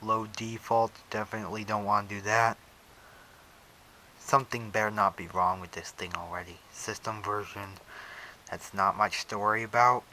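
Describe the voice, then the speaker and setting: A man's voice: lowish voice, level tone, moderate pace, sharp tone, slight background noise, low-quality recording. A man casually showing off a radio in an unboxing vlog, rambling as he handles it and filling gaps with "so" and "uh". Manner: rambling, talks while handling the device, many "so" and "uh". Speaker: radio collector showing his gear.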